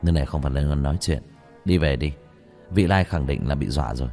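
A man acts out a stern male hero of a fantasy audiobook, cool and unyielding. The voice sits low and firm, with faint music behind it. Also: quick pace, darkish tone. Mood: calm.

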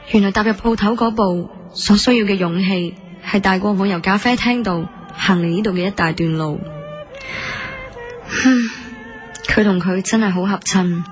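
A woman's voice: mid-range voice, unhurried, long pauses, muffled recording, close to an everyday voice. A woman with a Hong Kong Cantonese accent acting a prickly, proud young café woman who also narrates her story in a radio drama. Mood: jealous, aching. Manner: earnest, indignant, introspective. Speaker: lead actress and narrator. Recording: studio drama with music underneath.

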